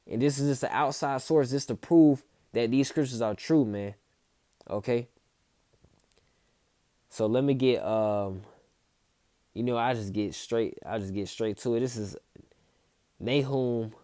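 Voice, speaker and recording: Man, street-preacher talk, mellow tone, dry close sound. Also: mid-range voice, no echo. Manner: casual, repeats himself, tags lines with "man" and "okay". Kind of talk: informal scripture teaching.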